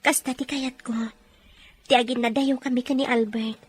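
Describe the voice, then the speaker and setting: A high but slightly breathy, husky voice plays a yearning mother acted by a woman in an audio drama. She speaks earnestly, with lovelorn longing. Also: fairly even intonation, moderate pace. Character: lovelorn, longing mother separated from her beloved. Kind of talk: Ilocano radio-style drama acting.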